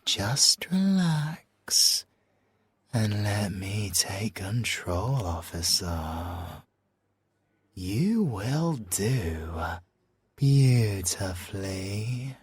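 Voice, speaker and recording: Slow, husky, suave British male siren, acted by a man. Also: fairly low pitch, lively intonation, dry recording, full character voice. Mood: seductive, controlling. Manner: slow, drawn-out teasing delivery with whispered phrases. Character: suave, flirtatious, possessive male siren, smugly self-assured. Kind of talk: ASMR audio roleplay.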